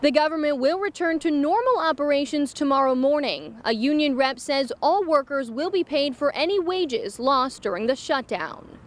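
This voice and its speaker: A woman's voice: sits high, lively intonation, moderate pace, few pauses. An American woman narrating a scripted TV news report.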